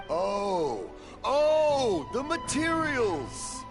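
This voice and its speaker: An actor playing a genial, hard-of-hearing elderly craftsman father in a video game, slow and chuckling. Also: sits high, wildly swooping pitch, over music, heavily acted. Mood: puzzled, loud. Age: older.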